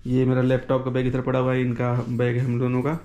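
A man's voice: mid-range voice, monotone, fast talker, mellow. A man talking casually to viewers as a travel vlogger, informal and colloquial. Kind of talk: casual vlog chat to camera.

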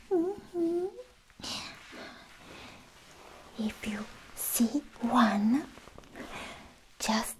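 A woman playing a clingy, lovestruck alien girlfriend in a soft-spoken ASMR roleplay, cooing in a breathy near-whisper close to the mic. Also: sits high, a little hiss or hum, full character voice, poor audio. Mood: hushed warning. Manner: cooing, drawn-out, doting. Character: clingy, lovestruck female alien girlfriend, sweet and slightly obsessed. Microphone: close sensitive microphone.

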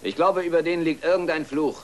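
A man's voice: on the high side, quick pace, a little hiss or hum, lightly acted. A man playing an exasperated, grumbling middle-aged farmer father in a children's radio play. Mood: grumbling, gloomy. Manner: grumbling, weary.

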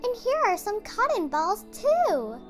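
Woman playing a little storybook girl, assured, plain-spoken, high, lively pitch swings. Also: takes it slowly, music in the background, heavily acted. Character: matter-of-fact, confident little girl in a picture book.

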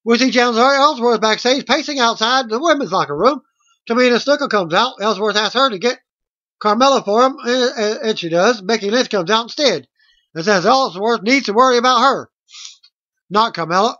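A middle-aged man reading out a wrestling results recap as host, in a halting way, on a webcam mic at home. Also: very high-pitched, bright, forceful tone. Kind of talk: read-out play-by-play results recap. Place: small room at home, indoors. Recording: dull lo-fi webcam audio.

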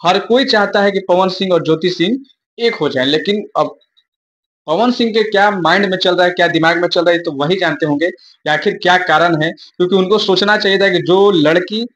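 A man's voice: high-pitched, fairly quick, a bit bright. A young man giving informal news commentary to viewers in an emphatic, repetitive explaining manner. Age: young adult.

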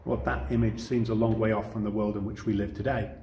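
A man's voice: lowish voice, little pitch movement, fast, dark tone. British man preaching in an echoey room. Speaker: Salvation Army officer preaching. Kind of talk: sermon to camera for online church service. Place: wood-panelled room indoors. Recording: roomy echo, clear background noise.

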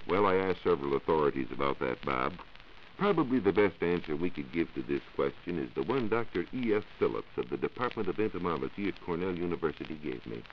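A man's voice: low-pitched, sing-song, fast. A man, a 1940s radio science reporter, giving scripted explanations in formal wording. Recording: dull, noisy 1940s radio recording.

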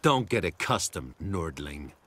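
A man's voice: low voice, sing-song, measured pace, background noise. A man playing a curt, haughty foreign guard in a video game, with a clipped manner. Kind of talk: video game dialogue acting.

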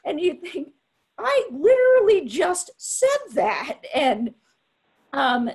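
A woman scientist speaking frankly on a webinar panel, deliberate and restarting often. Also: on the high side, vocal fry, darkish tone, husky, rough recording. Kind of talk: panel discussion answer. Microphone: webinar video call.

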